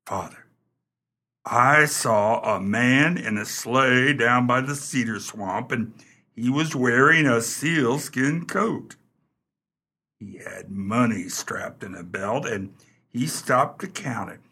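A melodramatic grown farm son acted by a man in a comic radio play. He speaks slowly and earnestly, with a tense, forceful edge and some rasp. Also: medium pitch, heavily acted. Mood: urgent, conspiratorial. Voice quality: husky, forceful.

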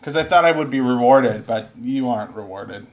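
A man's voice: mid-range voice, normal speed. A young man co-hosting a gaming podcast, joking, teasing his friend and swearing casually. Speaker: podcast co-host. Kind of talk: casual chat.

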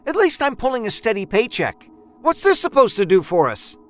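An exasperated wife as a male narrator plays her in a dramatic audio story. The voice sits well above his own, with a clean tone. She is scornful and scolding, sharp with disbelief. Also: high voice, wildly swooping pitch, normal speed, faint music behind, voice pushed up, exaggerated voice. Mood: angry scorn.

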